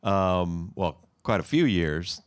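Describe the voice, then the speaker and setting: A male interview host with a fairly low voice, recorded dry and close on a studio mic. He asks questions in a friendly way, with short fillers between them. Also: lively intonation, slow pace, smooth, dry recording. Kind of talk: interviewing a musician.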